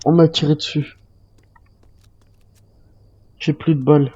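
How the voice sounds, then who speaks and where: Slightly muffled game chat carries a man's fairly high, soft-toned voice. He role-plays in character and tends to repeat short phrases insistently. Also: level tone, slow pace, husky. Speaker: role-play gamer. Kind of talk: improvised in-character online game role-play.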